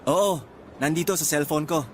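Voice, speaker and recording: An actor playing an excited young Filipino traveller in a radio drama, speaking in an earnest, conversational Filipino accent. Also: male voice, on the high side, moderate pace, lightly acted. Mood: curious, teasing. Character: excited young Filipino man on first trip abroad.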